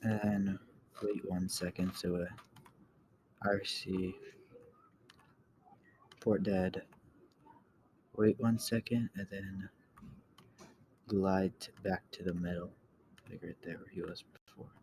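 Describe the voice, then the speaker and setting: A man giving a casual software tutorial, thinking aloud in a halting way and often trailing off. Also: low voice, fairly even intonation. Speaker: tutorial host. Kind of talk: casual screen-recorded coding tutorial. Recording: clear background noise, keyboard clicks.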